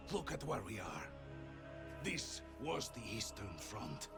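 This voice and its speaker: An actor playing a menacing, bitter Soviet ideologue in a game cutscene, with a slow, mocking, grandiose manner. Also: male voice, medium pitch, vocal fry. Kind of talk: dramatic game cutscene acting. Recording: loud music behind the voice.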